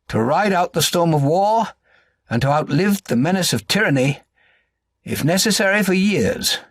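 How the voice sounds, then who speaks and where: A man narrates a crime novel in a measured, articulate style. His voice sits at an average male pitch. The sound is very dry, as in audiobook recording. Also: breathy edge, no room sound.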